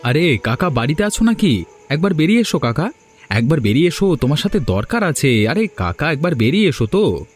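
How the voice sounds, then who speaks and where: A man's voice: a little high, very animated, a little fast, faint music behind. A man voicing a hard-up, determined village snack seller, earnest and outspoken, in a cartoon. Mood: eager. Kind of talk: Bengali moral-story cartoon dubbing.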